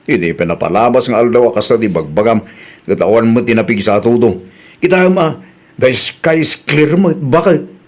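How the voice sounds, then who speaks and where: A stubborn middle-aged village man, played by a man, who waves off every warning with a teasing shrug. His voice sits at an ordinary mid pitch and carries no fuss. This is heard in an audio drama. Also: animated, normal speed, lightly acted.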